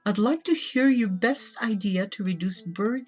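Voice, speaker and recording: A woman chats casually during a let's play and reads game text aloud in a measured, lightly theatrical way. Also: medium pitch, breathy edge. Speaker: guest co-player. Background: background game music.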